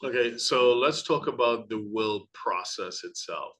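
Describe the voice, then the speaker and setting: Bright-voiced male interview host. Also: fairly low pitch, unhurried, a little hiss or hum. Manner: polite, conversational questioning. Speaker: podcast host. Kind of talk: interview hosting.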